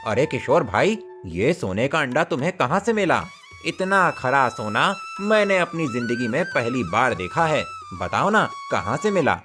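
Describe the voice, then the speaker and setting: A man's voice: mid-range voice, sing-song, unhurried, over music. A man voicing an eager, talkative village goldsmith in a cartoon story, inquisitive and wheedling. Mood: amazed, curious.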